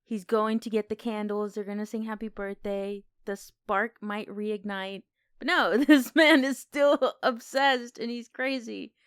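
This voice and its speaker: A woman's voice: fairly high pitch, fairly even intonation, measured pace, smooth, no echo, clean studio sound. A woman co-hosting a podcast, discussing film in a casual, conversational way. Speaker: podcast co-host.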